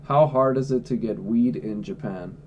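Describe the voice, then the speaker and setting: A man's voice: mid-range voice, moderate pace, darkish tone, muffled recording, a little hiss or hum. A man livestreaming from home, answering chat questions in a blunt, offhand way and swearing freely. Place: at home indoors.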